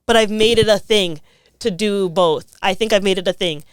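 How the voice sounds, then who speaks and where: A woman's voice: medium pitch, moderate pace, smooth, dry recording. A young woman, speaking as an interview guest. She talks in a thoughtful, casual way and often says "like.". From Toronto, Canada. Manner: thoughtful, frequent "like" fillers.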